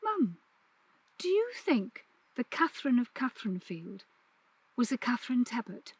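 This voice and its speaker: A woman's voice: on the high side, very animated, moderate pace, slightly rough, no room sound. A woman voicing an eager, curious young girl in a storybook reading. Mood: eager, questioning.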